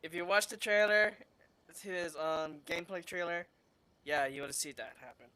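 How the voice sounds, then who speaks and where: A woman's voice: fairly low pitch, normal speed, pushed and bright, smooth. A woman giving casual, chatty commentary on a video game playthrough and offhandedly reading dialogue aloud. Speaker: gameplay commentator. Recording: faint game music behind the voice.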